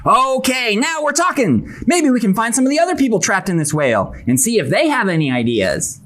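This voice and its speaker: A woman's voice: fairly high pitch, sing-song, brisk, a bit bright. A woman playing an eager, naive young fisherman in a children's puppet show, earnest and wide-eyed. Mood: hopeful, determined.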